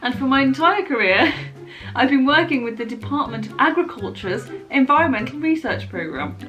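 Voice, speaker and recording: Female amateur reader, very bright tone, playful mock-dramatic storytelling with giggles, faint music underneath. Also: sits high, animated, dull audio. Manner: playful, giggly, deliberately repeating lines. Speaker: vlogger. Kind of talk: jokey dramatic reading of short stories.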